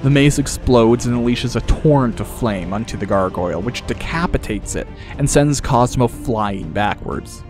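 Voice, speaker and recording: A man's voice: mid-range voice, lively intonation, moderate pace, few pauses, music in the background. A man reading scripted fantasy narration as an audiobook narrator.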